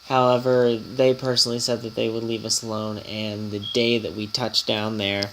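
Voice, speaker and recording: A man's voice: on the low side, flat delivery, moderate pace, fairly bright tone, rough recording. Male gaming vlogger, slightly congested and nasal, rambling casual delivery, faint background hiss. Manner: rambling, chatty, easily startled. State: slightly nasal from a coming cold. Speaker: gaming channel host and guild leader. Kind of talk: casual mobile game commentary vlog.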